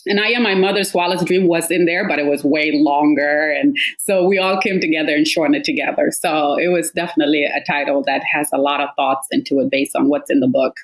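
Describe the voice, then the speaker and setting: Soft lower-voiced woman from South Sudan. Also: fairly even intonation, fairly quick, hardly any pauses, rough recording. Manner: thoughtful, emotional, with hesitations. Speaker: author and guest. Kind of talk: conversational interview.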